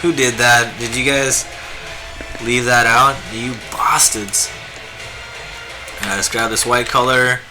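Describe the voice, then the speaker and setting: Hoarse raspy guy rambling thru a drawing stream, mid pitch, guitar music going behind. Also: fairly even intonation, takes it slowly, pauses often. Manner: laid-back, rambling, many fillers. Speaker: live-streaming artist. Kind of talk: casual live-stream chat while drawing.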